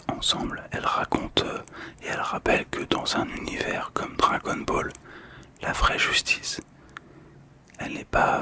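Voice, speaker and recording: Whispering male ASMR host. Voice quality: breathy, unvoiced whisper. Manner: gentle, slow, soothing delivery with pauses. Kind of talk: whispered ASMR card description. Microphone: close ASMR microphone, monitored on headphones.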